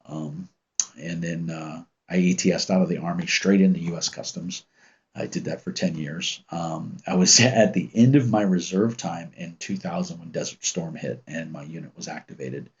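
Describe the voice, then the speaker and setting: Male streamer, 50s, from the States, deep commanding husky voice, loose casual storytelling, dull lo-fi sound. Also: moderate pace. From United States. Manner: rambling, relaxed, with many ums and restarts. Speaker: channel host reading live chat. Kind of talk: casual livestream chat and storytelling. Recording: muffled lo-fi stream audio.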